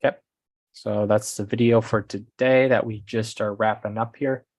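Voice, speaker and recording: A man with a lowish voice hosts a casual livestream in a rambling, informal way. His delivery stays fairly flat in pitch, with little room sound around it. He often tags his sentences with small check-ins to his listeners. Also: a little slow, dry recording. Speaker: host of a business coaching livestream.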